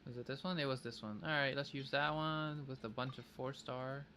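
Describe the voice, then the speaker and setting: A man's voice: mid-range voice, fairly even intonation, brisk, sharp tone. A man doing casual livestream gameplay chat, thinking aloud with playful, childish wording. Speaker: game streamer. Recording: faint background noise.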